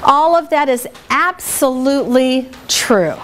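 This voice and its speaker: A woman with a big voice teaching a workshop to a small group, chatty and lively and quick to laugh, speaking in a meeting room without a microphone. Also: high voice, a little hiss or hum. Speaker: speaker trainer.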